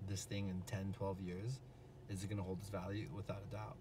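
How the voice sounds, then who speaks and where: A man from California, a businessman talking in a car, explaining his reasoning in a calm, self-assured, matter-of-fact way. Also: low-pitched, a little fast. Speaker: guest, businessman. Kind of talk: casual debate in a car. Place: inside a moving car. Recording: distant voice with loud road noise in a car.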